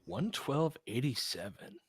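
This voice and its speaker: A man's voice: mid-range voice, sing-song, a little slow, breathy edge, no room sound. A man doing casual live basketball commentary and chatting with viewers, informal and slangy with some swearing. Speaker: host of a fan watch-along.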